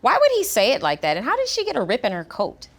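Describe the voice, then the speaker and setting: A woman's voice: on the high side, wildly swooping pitch, quick pace. A woman teacher asking questions during a classroom read-aloud lesson.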